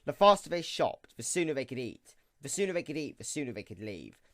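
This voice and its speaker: Lively male story narrator. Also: mid-range voice, a little fast.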